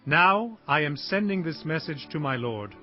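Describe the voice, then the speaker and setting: Man acting biblical herdsman, impassioned, declamatory, widely swooping pitch, audio Bible drama, lo-fi sound. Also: lively intonation, moderate pace, close to an everyday voice. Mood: formal, humble. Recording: dull lo-fi audio with music behind.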